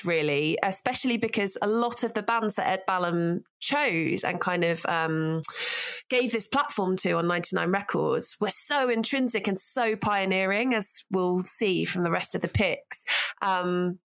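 A woman, an author, talking casually about music history, thoughtful and quick to laugh. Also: medium pitch. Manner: thoughtful, laughs often, many ums. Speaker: author and guest.

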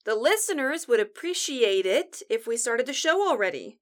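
A woman's voice: on the high side, sing-song, normal speed. A woman playing a bossy, sarcastic apocalypse survivor who co-hosts a radio show with dry exasperation. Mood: irritated. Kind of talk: scripted comedic audio drama, bantering radio show.

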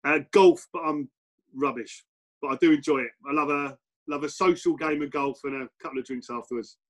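A middle-aged man with an English accent talks through his playing and coaching days in a casual interview. His voice sits slightly high for a man, and he pauses, restarts and fills gaps with "um" as he thinks aloud. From England. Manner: relaxed, reflective, many fillers and restarts. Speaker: former footballer and coach, interview guest.

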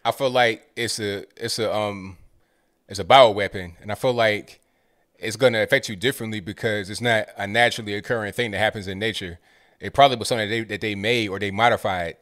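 A man with a lowish voice hosts a call-in show. He takes calls and gives his opinions at length, in a relaxed and measured way. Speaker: host.

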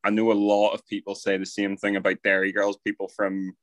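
A man's voice: lowish voice, completely flat delivery, brisk, a bit bright, dry recording, clean studio sound. A young man co-hosting a podcast, talking things through with wry, teasing asides. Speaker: podcast co-host. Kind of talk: casual chat.

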